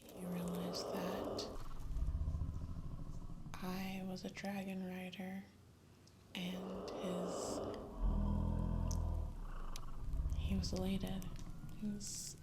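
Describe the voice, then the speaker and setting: A woman playing a gentle, wistful young dragon rider, telling her past in a breathy, halting ASMR whisper. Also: lowish voice, monotone, very noisy. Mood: tender, hushed. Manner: slow, halting, hushed, intimate. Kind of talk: whispered ASMR roleplay storytelling. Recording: loud ambient nature sound throughout. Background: campfire and night nature ambience.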